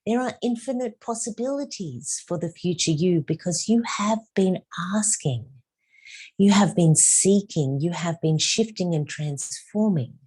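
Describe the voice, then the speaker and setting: A woman leading a guided meditation as a spiritual teacher, speaking in a soft, soothing way with drawn-out phrases and some hushed, whispered words. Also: on the low side, expressive, husky.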